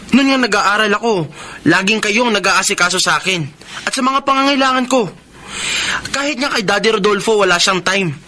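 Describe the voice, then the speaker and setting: A man's voice: high-pitched, normal speed, pushed and bright. A man playing a pampered, sulky young adult son in a radio drama, with a petulant, pleading manner. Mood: sulky, resentful. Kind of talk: Tagalog radio drama acting.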